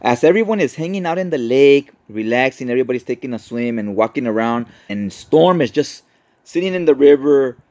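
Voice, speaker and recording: A man with a mid-pitched voice gives a casual recap as a host. He explains things conversationally, like talking a friend through a story, often checking that the listener follows. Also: animated, brisk, a little mellow, dull audio. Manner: conversational, explanatory, often adds tag questions. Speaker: comic book explainer, host.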